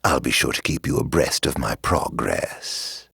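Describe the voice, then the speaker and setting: Game villain: immortal fallen king, theatrical and vengeful, ornate speech, very raspy male voice, close dry sound. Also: extremely low pitch, unhurried, pushed and bright, no room sound, full character voice. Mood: mocking, playful. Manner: grandiloquent, theatrical, ornate diction. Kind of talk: dramatic video game voice acting.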